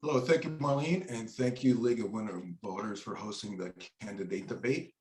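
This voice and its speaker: A congested, middle-aged man from New Jersey gives a prepared opening statement as a debate candidate, pausing nervously for breath. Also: on the low side, darkish tone, roomy sound.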